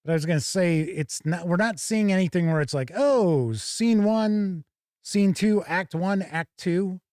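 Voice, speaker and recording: A man's voice: high-pitched, measured pace, darkish tone, no room sound, studio quality. A man hosting a tabletop gaming show, chatting casually and thinking aloud as he gives live first-look commentary.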